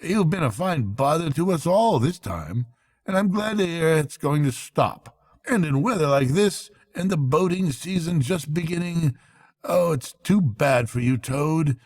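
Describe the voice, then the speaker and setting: A man voicing a hearty, brisk storybook rat who is kindly, blunt and earnest. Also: on the high side, expressive, a little mellow, pitched above the performer's own voice. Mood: hearty, exasperated. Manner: brisk, exclamatory, blunt but fond.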